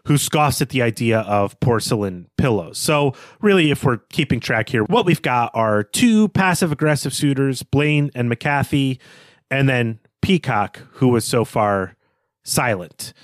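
A man's voice: mid-range voice, expressive, measured pace, no room sound, studio quality. A man co-hosting a podcast, talking through a book in a conversational, explanatory way. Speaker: podcast co-host.

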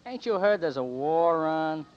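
An actor playing a gruff male elevator operator who talks bluntly and in slang, in a 1940s radio play. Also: fairly high pitch, expressive, unhurried, muffled recording.

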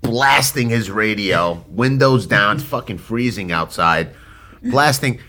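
A man's voice: on the low side, expressive, slow, bright, forceful tone. A man hosting a podcast who asks questions and tells rambling stories in a casual way. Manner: loose, rambling storytelling. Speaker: podcast host. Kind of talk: casual chat.

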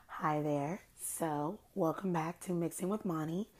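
A young adult woman hosting her own podcast, hoarse from losing her voice, chatting in a rambling, gossipy way. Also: unhurried, darkish tone. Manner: chatty, rambling, self-described low-key shady. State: hoarse, has lost her voice. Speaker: podcast host. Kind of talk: casual solo podcast chat.

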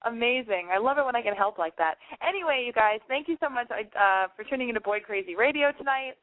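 A fairly high-voiced woman hosting a late-night call-in radio show. She talks bluntly and gushes, swearing freely. The sound is thin and tinny, like a phone line. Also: animated, fast talker. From German family.

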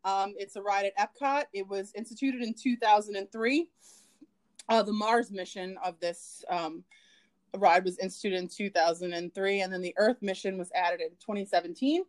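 A woman co-hosting a podcast in relaxed conversation, chatty and giggly, with plenty of ums and likes. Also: mid-range voice, normal speed. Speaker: podcast co-host. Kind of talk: casual chat.

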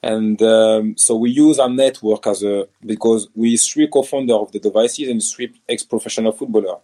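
French-accented man in his thirties, ex-footballer, halting and earnest delivery, lowish mellow tone. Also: steady tone. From France. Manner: hesitant, many restarts and fillers, non-native grammar. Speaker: former professional footballer and business founder. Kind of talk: casual interview answers.

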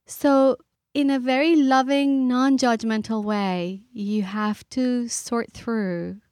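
A woman's voice: fairly high pitch, steady tone, darkish tone, dry recording, studio quality. Thoughtful middle-aged woman from Iran. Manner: earnest, thoughtful, pausing with fillers. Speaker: author and guest. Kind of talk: reflective interview talk.

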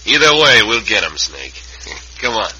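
A man's voice: on the low side, sing-song, unhurried, sharp tone, heavily acted. A man playing a cold-blooded, gloating outlaw boss with an American Western accent and menacing folksy talk, in an old radio western. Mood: scheming. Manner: menacing, folksy rough grammar. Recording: dull, noisy old radio recording.

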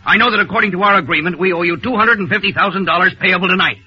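A man's voice: high voice, racing, pushed and bright, slightly rough, exaggerated voice. An actor playing a desperate, threatening American townsman in a 1940s radio drama, speaking with emphatic urgency. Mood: urgent pleading. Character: desperate, threatening townsman pleading for his town's fight. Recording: dull old radio broadcast.

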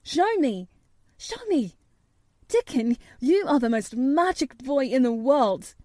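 Woman acting an eager, high-voiced young boy. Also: fairly quick, dry recording, studio quality. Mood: excited. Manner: excitable, pleading. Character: eager, imperious young boy recovering from illness.

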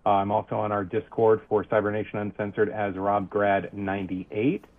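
Low-voiced man on a tinny line. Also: little pitch movement, moderate pace. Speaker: player.

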